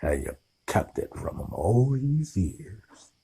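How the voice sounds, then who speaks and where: A man voicing a huge, hot-tempered but kindly gamekeeper, booming and growling in an audiobook. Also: huge pitch swings, unhurried, dark tone, breathy edge, full character voice. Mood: seething. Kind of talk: audiobook character voice.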